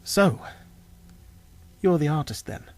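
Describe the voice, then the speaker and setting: A man's voice: on the high side, expressive, takes it slowly, darkish tone. A man playing an earnest, flustered young time lord on the run, hesitant and rambling, in an audio drama. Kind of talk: audio drama character dialogue. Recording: music behind the voice.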